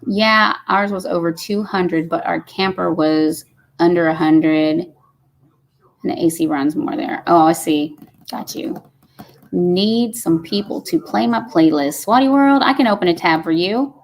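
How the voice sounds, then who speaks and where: A woman's voice: lowish voice, a little slow. A woman, hosting a casual live stream and chatting folksily as she reads comments aloud. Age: 50s. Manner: chatty and folksy, says y'all.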